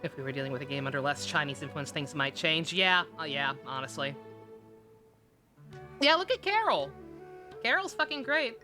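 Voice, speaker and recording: A woman's voice: sits low, very animated, moderate pace, long pauses, bright, forceful tone. A woman live streaming a game with casual, candid commentary, rambling and stammering, with frequent swearing. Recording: game music behind the voice.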